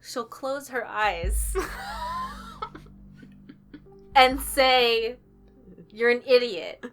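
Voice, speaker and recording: A woman co-hosting a gaming video, bantering casually in an animated, teasing, giggly way. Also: sits high, extremely slow. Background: game music.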